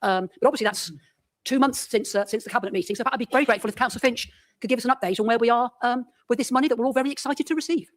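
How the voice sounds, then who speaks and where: A woman's voice: fairly high pitch, racing. A woman councillor politely asking for an update in a council meeting, reading out part of a document. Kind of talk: formal question in a council meeting.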